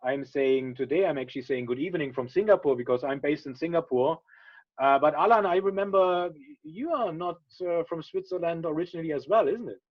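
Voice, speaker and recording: A man's voice: on the high side, brisk. German man hosting a webinar. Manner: friendly, many uh and um fillers. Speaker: webinar host. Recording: dull lo-fi video call audio.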